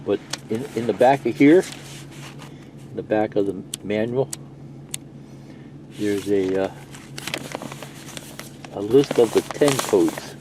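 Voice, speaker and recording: A man's voice: on the low side, slow, long pauses, noisy background. A middle-aged man giving a casual, rambling, plain-spoken hands-on walkthrough of a gadget, explaining as he goes. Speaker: CB radio video presenter.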